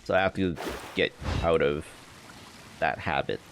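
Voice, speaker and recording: A man's voice: very deep voice, measured pace, gravelly, noisy background. A man giving casual live commentary while he plays a video game, with game music behind him. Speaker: game streamer. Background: loud video game music.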